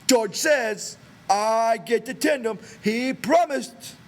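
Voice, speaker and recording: A man's voice: very high-pitched, slow pace, voice pushed up. A man voicing a childlike, simple-minded American man, eager, pleading and insistent, in an audiobook.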